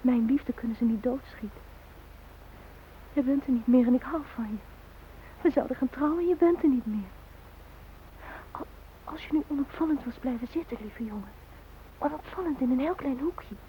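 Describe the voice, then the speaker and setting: An actress in a radio drama playing a grieving young woman who speaks haltingly and in anguish to her dead fiancé. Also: high voice, a little hiss or hum, lightly acted. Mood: quiet, wistful. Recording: dull, lo-fi old radio recording.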